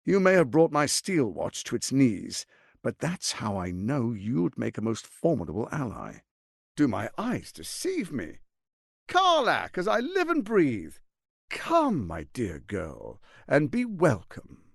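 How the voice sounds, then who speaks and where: An actor playing a suave, scheming tyrant lord in a fantasy video game, speaking in a pompous, silky, mock-courteous and theatrical manner. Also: male voice, mid-range voice, huge pitch swings, takes it slowly, creaky, no echo, clean studio sound, full character voice. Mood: smooth, reproachful, then mock welcome.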